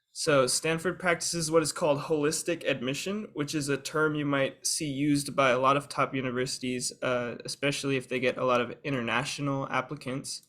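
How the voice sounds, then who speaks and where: A man's voice: medium pitch, a bit bright. A young man, a student guest, giving a prepared, organized explanatory talk on a video call. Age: young adult.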